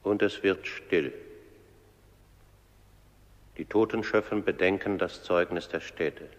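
A man's voice: low-pitched, slow. A man narrating a radio play in a solemn, scripted style. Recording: long hall reverb.

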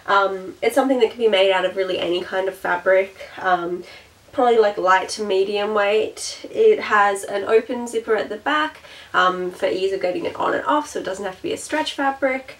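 A woman's voice: medium pitch, normal speed, fairly bright tone, recorded from a distance. A woman in her twenties with a slightly nasal voice from a cold, chatting through a sewing tutorial with frequent "um" fillers. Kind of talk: casual step-by-step voiceover.

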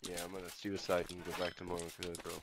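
A man's voice: deep voice, monotone, fast, a bit bright. A man in a heated argument on game voice chat, swearing and hurling insults. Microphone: gaming voice chat microphone.

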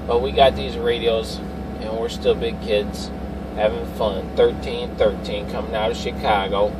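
A man's voice: mid-range voice, fairly even intonation, a little slow, recorded from a distance. Middle-aged male CB operator, slangy repeated call signs, off-mic inside a very noisy vehicle. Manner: repetitive, slangy on-air patter. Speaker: CB radio operator. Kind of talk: casual CB radio chatter with call signs. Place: inside a parked or running Suburban. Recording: loud vehicle noise, off-mic.

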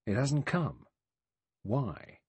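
A man's voice: mid-range voice, sing-song, drawn-out words, slightly rough, no room sound, voice pushed up, exaggerated voice. A man voicing a blunt, suspicious former police inspector, dry and emphatic, in an audiobook.

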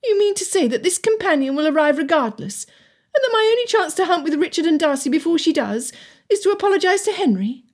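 A woman's voice: very high voice, expressive, quick pace, voice pushed up. A woman voicing a stubborn, indignant young girl from a wealthy household in an audiobook, speaking formally and emphatically. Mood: angry, formal. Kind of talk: audiobook character dialogue.

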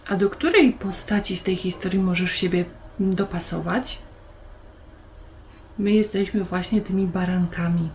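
A woman's voice: lowish voice, a little slow, long pauses, darkish tone, a little hiss or hum. A woman hosting a Bible lesson for young children, explaining things simply and putting questions to the listener.

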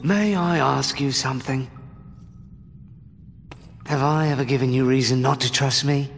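Sly gravelly pirate rogue. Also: male voice, mid-range voice, steady tone, a little slow. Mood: wry, hushed. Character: sly, roguish adult male pirate, coolly self-assured. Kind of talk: dramatic video game voice acting. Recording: reverberant cave, faint noise behind.

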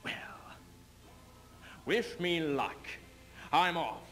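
A young man playing an aging, embattled English king in a theatrical stage reading, bitter and mocking, with deliberate, declamatory speech. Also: fairly high pitch, lively intonation, sharp tone, full character voice. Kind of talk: theatrical readers theater duo performance. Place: stage in front of curtain. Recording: noisy amateur stage video sound.

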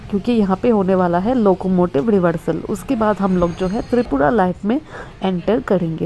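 A woman's voice: mid-range voice, warm tone, a little hiss or hum. A woman travel vlogger explaining the route to viewers from a moving train, recorded on a handheld phone. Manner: explanatory, addresses viewers directly. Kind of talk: train journey vlog commentary.

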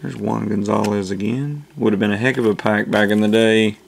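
Host, male, unhurried low-key delivery, very gravelly, faint room hiss. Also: fairly even intonation. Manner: slow, low-key listing of names. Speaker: channel host opening card packs. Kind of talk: casual card-opening commentary. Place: indoors at a table.

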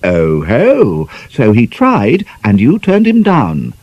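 Guy putting on a cheeky 19yo girl, gloating and needling, voice pushed up high, dull lo-fi sound. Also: wildly swooping pitch, slow, husky, no echo, full character voice. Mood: teasing. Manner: gleeful, mocking, needling. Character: teasing mischievous young woman of nineteen.

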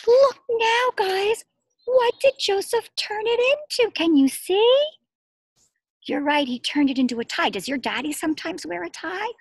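A middle-aged woman reading a picture book aloud to young children as their teacher, expressive, asking questions and pausing for answers. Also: very high voice, dry recording. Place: outdoors among trees and shrubs.

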